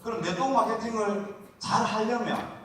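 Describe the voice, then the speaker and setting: A man's voice: high-pitched, normal speed, crowd noise. A man with a regional Korean accent giving a seminar talk in a chatty, folksy way, often asking the audience to answer back. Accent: Korean regional dialect. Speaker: seminar speaker. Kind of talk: motivational seminar lecture. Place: large lecture hall. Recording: echoey hall, mic heard from a distance.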